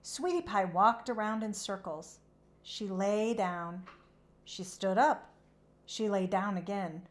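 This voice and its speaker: A woman who hosts children's read-alouds, narrating a storybook expressively in a measured, animated way. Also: mid-range voice.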